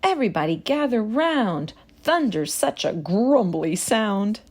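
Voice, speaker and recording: A woman's voice: very animated, unhurried, a little mellow, husky. A woman voicing a kind, welcoming little truck in a children's storybook. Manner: high beeping, reassuring.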